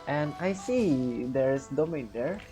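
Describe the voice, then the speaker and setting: A woman's voice: rumbling low, expressive, slow, music in the background. A woman livestreaming a game, casually muttering and thinking aloud as she plays. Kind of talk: casual live gameplay commentary.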